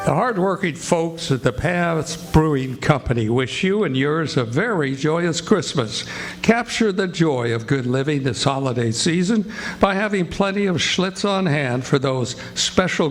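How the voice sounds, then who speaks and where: A man's voice: fairly high pitch, animated, a little slow. A man reading scripted announcements in old-time radio style as master of ceremonies for a live audience. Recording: noisy live stage recording with audience. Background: live theatre audience.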